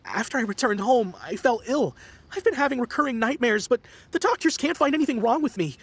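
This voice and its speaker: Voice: medium pitch, lively intonation, fairly quick, slightly rough. A voice actor playing a frightened young man in a game who is sure he has been cursed, melodramatic and pleading. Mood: nervous. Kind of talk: game voice acting.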